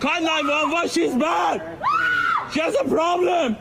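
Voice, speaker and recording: A man's voice: extremely high pitch, fairly even intonation, a little slow, gravelly, raised voice, a loud crowd. A distraught man with an Iranian accent, a native Persian speaker, pleading and raising his voice at officers. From Iran. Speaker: bystander filming an arrest. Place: outdoors on a street. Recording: very noisy outdoor street clip.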